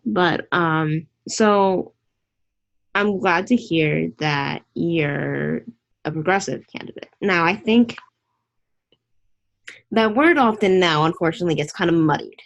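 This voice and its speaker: A woman's voice: on the low side, takes it slowly, gravelly, pauses often, lo-fi sound. A woman hosting a casual online interview, chatty and opinionated, rambling with restarts.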